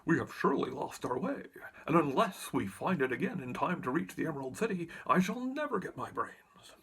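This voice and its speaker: A fretful living scarecrow acted by a male narrator, eager and given to grumbling. The storybook voice swoops up and down with a husky, creaky grain. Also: on the high side, very animated, brisk, a bit bright, voice pushed up, full character voice. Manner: exclaiming, grumbling.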